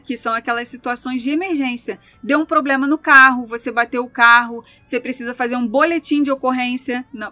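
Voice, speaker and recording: A woman's voice: high voice, bright, forceful tone, dry recording. A Brazilian woman with a Brazilian Portuguese accent, chatting casually and explaining things through lists of examples. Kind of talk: casual chat.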